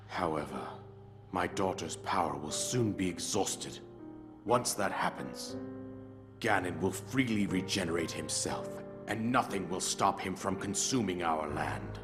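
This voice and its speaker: An actor playing an old, grieving king in a video game cutscene, telling of past events in a grave, formal, measured way. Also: sits low. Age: older. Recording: game cutscene with loud orchestral score. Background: orchestral music.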